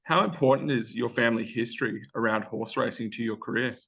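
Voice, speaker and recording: A man's voice: mid-range voice, creaky, fairly bright tone. Man hosting an interview. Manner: brisk, affirming with frequent yeahs. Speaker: host.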